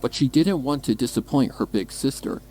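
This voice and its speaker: A man's voice: medium pitch, moderate pace, dark tone, soft background music, poor audio. A man reading scripted, dramatic story narration. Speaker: fan fiction narrator.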